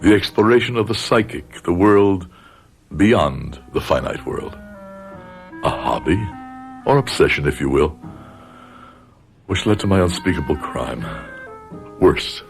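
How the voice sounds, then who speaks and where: A man acting in a radio drama as an aging, guilt-ridden psychology professor, grave and deliberate, with formal wording. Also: sits low, expressive, soft background music. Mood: somber confiding. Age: older.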